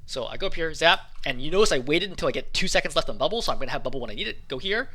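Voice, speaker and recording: Fast, animated man coaching gameplay. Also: on the high side. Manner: quick, animated explaining over footage. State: hoarse. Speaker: game coach. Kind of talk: gameplay commentary.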